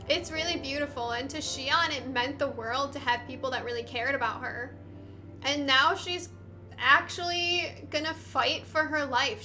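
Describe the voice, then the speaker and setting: A young woman hosting a let's play, giving casual, sentimental, gushing commentary as she goes. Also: sits high.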